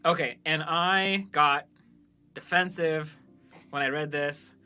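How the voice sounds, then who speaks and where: Bright, forceful-voiced man, podcast banter. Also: fairly high pitch, fairly even intonation, slow, clean tone. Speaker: podcast host and creator of an amateur audio drama. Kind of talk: casual banter, reading out listener reviews.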